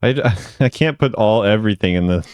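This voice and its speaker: Male gaming commentator, lowish smooth voice, laid-back and musing, dry close sound. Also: brisk, darkish tone, dry recording. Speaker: video creator reacting to game voice lines. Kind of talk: casual reaction commentary.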